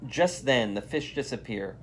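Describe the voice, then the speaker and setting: A man gives an animated read-aloud of a children's storybook. His pitch moves freely and he leans on key words with expressive emphasis. There is faint noise behind his voice. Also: unhurried, poor audio. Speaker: volunteer read-aloud reader.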